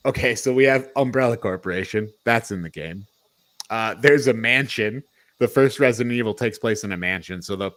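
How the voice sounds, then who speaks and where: A man hosting a casual film discussion, speaking in an informal, slangy and opinionated way. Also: lowish voice. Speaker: podcast host leading a film review.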